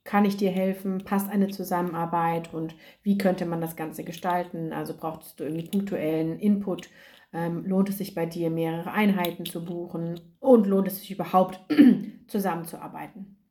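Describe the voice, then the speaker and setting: A woman hosting a solo podcast as an academic writing coach, chatting casually with many fillers, her throat slightly hoarse. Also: on the low side, fairly even intonation, normal speed, mellow.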